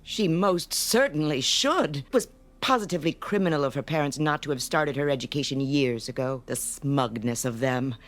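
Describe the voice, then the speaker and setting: An actress playing a prim, self-righteous, domineering schoolteacher in a radio drama, speaking precisely and with condescension. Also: female voice, deep voice. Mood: indignant. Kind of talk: vintage-style radio drama acting.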